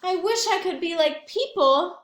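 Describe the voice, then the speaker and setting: Woman as a high-voiced, wishful little chameleon. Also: expressive, moderate pace, echoey room.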